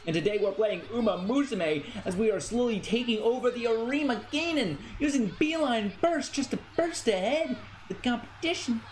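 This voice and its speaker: A young American man chatting casually on a game stream, animated and playful, often stammering and repeating phrases. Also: very high voice, moderate pace, a little hiss or hum. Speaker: livestreamer, VTuber.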